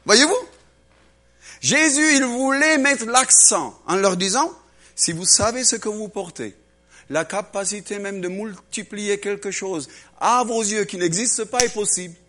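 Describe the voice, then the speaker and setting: A man preaching a lively sermon to a congregation, acting out little voices and swinging between loud and hushed delivery. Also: high voice, measured pace. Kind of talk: animated sermon.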